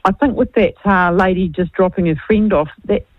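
A woman's voice: mid-range voice, normal speed, no echo. A woman calling in to a radio show, chatty and self-deprecating, with frequent restarts. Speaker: caller. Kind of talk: casual radio call-in chat. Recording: dull phone-line sound.

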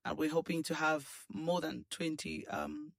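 A man's voice: on the high side, fairly even intonation, measured pace, gravelly, a bit bright, no echo, studio quality. A man representing a church choir, speaking earnestly as a radio guest with frequent hesitations. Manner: earnest, hesitant with many ums, persuasive. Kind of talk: studio interview guest. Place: radio studio.

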